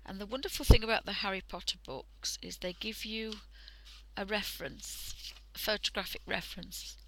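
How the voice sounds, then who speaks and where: A woman with a croaky voice from a cold, chatting casually through a drawing tutorial on a headset mic and often dropping into half-whispers. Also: medium pitch, steady tone, normal speed, a little hiss or hum, rough recording. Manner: chatty, thinking aloud, drifting into half-whispers. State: hoarse from a cold. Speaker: art livestream host.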